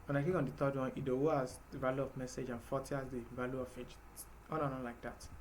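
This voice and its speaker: A man's voice: medium pitch, level tone, fast, slight background noise. A man teaching a live programming class, explaining patiently step by step with fillers. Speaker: programming instructor.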